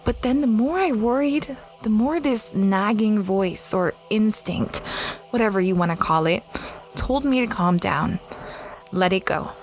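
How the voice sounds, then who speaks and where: A woman as a slow, dreamy space explorer. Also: mid-range voice, lively intonation, slight background noise, close to an everyday voice, rough recording. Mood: dreamy, reflective. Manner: reflective, wondering, conversational. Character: Livia Stargaze, dreamy young female space explorer recounting adventures. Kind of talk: first-person audio drama narration.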